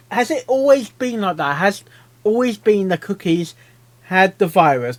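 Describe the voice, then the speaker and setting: A man giving casual reaction commentary, rambling and often repeating himself as he thinks aloud. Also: sits high. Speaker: reaction video host.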